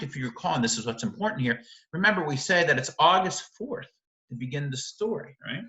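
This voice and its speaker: A man's voice: a little high, pushed and bright, roomy sound, dull audio. A man teaching literature in an informal video lecture, speaking emphatically and often checking in with rhetorical questions. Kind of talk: informal video lecture analysing a short story.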